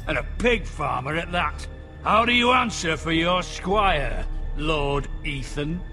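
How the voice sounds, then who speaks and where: An older rival lord from a fantasy game, belligerent and scornful. The voice sits high for a man and swings widely, with a forceful, hard edge and music underneath. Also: lively intonation, slow pace, heavily acted. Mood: accusing. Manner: blustering, contemptuous, swearing. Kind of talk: dramatic video game acting.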